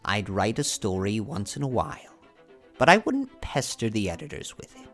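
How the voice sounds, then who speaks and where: A man's voice: lowish voice, wildly swooping pitch, soft background music. A man playing a blunt older farmer. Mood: reflective. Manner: blunt, unhurried, reflective. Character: blunt older male farmer neighbor, plainspoken. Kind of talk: audiobook drama reading.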